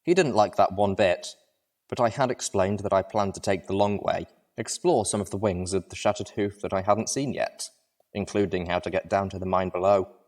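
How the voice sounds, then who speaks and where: A man's voice: low-pitched, normal speed, a little mellow. A man reading a story aloud as narrator, in a dramatic first-person style.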